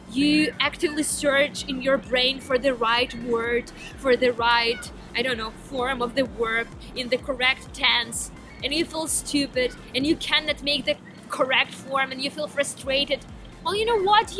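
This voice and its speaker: A young woman with a Ukrainian accent speaks eagerly and with emphasis, her voice high and bright in pitch. She stops now and then to search for a word. Music plays clearly under her voice. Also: steady tone, a little slow. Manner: eager, emphatic, with some hesitations. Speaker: vlogger. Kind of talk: enthusiastic to-camera vlog.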